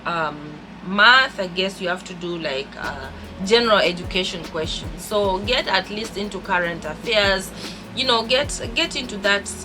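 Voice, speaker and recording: A woman's voice: mid-range voice, lively intonation, a little slow, poor audio. Chatty Kenyan woman vlogging over music. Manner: chatty, upbeat, repeats words often. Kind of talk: casual vlog talk to camera.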